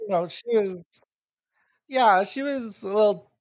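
A woman's voice: medium pitch, slow, no echo. A woman hosting a casual movie podcast, asking questions in a laid-back way with hesitant fillers. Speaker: podcast host.

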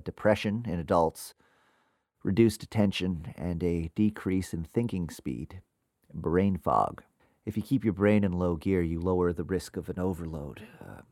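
An actor playing a haunted, uneasy man with seizures, confiding in a halting, introspective audio drama monologue. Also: sits low, dry recording, clean studio sound. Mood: weary, candid.

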